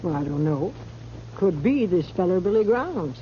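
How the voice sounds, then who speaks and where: A woman's low voice on a muffled, faintly hissy old radio recording. She is a worldly western saloon woman, shrewd and dry, talking matter-of-factly. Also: sing-song, moderate pace. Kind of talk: 1950s radio western acting.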